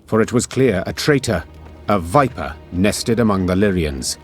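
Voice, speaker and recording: A man playing a storyteller, narrating a grave war saga for a fantasy game in a measured, solemn manner. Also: sits low, expressive, soft background music. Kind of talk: scripted dramatic storybook narration.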